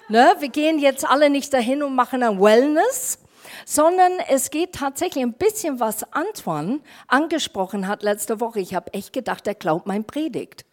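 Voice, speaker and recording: A woman pastor with an English accent, preaching in an informal, chatty way with fillers and slips in grammar. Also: high-pitched. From half German, half English. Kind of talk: sermon.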